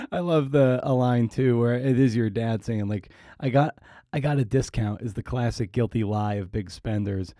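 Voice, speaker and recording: A middle-aged man hosting a casual interview, feeling his way through his phrases in a halting manner. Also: mid-range voice, mellow, no room sound, clean studio sound. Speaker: podcast host, interviewer.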